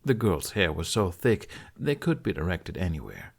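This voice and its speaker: Male audiobook narrator, lowish pitch, scripted storytelling read. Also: huge pitch swings, normal speed, creaky, husky.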